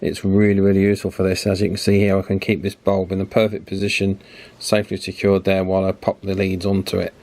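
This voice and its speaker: A man giving a casual, hands-on repair demonstration, chatty and unhurried, thinking aloud as he works. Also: low voice, monotone, darkish tone. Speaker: radio repair video presenter. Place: indoor electronics repair bench.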